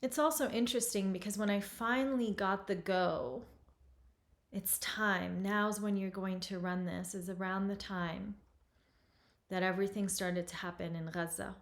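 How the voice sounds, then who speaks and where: A woman host in her forties, from Lebanon, speaking at an unhurried pace with a middle-range voice. She talks reflectively and softly, taking her time over each thought. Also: medium pitch, fairly even intonation. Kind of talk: reflective event introduction.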